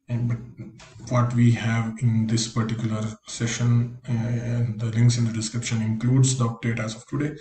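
Man with a flat, mellow voice. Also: mid-range voice. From India. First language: Konkani. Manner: explains step by step, plain and practical. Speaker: career coach. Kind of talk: screen-recorded tutorial walkthrough. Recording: echoey room with faint hiss.

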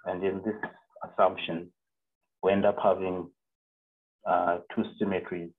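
Low flat-voiced young man on a call. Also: husky. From Zambia. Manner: halting, many fillers and self-corrections. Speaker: physics PhD student presenting a seminar. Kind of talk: online seminar lecture. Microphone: video call. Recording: narrow phone-like call audio.

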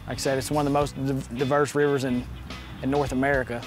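Game warden, music behind him. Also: male voice, mid-range voice, level tone, quick pace. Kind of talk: interview talk about outdoor work. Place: outdoors by a parked truck. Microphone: lapel mic.

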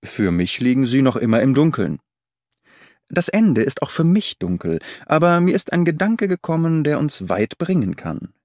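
Man as audiobook detective, keen, brooding, thinking aloud, lively intonation, dry close sound. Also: mid-range voice, dry recording, voice pushed up, lightly acted. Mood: brooding, absorbed. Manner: murmuring, deliberate, thinking aloud. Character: keen, brooding male detective, intense and impatient. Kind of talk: audiobook character reading.

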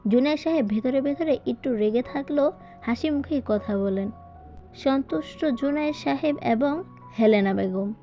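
A woman's voice: a little high, dark tone. A woman reading a scripted story as a dramatic audiobook narration. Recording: dull lo-fi voiceover with music. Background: background music.

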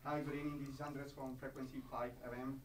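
Halting male reporter, roomy and off mic. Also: medium pitch, level tone, distant mic, slight background noise. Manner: halting, wordy questions in non-native phrasing. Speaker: radio reporter. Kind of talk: press conference questions.

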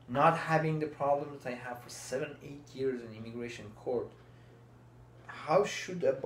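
A man's voice: mid-range voice, creaky. A man, passionately asking questions in a casual conversation. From Iran. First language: Farsi. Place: small room indoors. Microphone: fixed camera, seated. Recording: roomy with faint background hiss.

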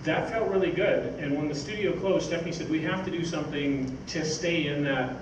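An older man giving an informal, halting talk from notes on a stage. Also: fairly high pitch. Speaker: speaker, magazine editor. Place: theatre stage, indoors. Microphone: stage mic on stand, picked up by distant camera. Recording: roomy, noisy stage recording from far off.

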